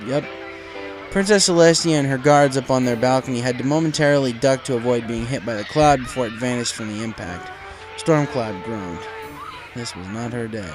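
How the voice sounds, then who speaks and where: A man's voice: mid-range voice, moderate pace, low-quality recording. Male reader for dramatic fiction narration, mid-range voice, music underneath. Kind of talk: dramatic scripted story narration.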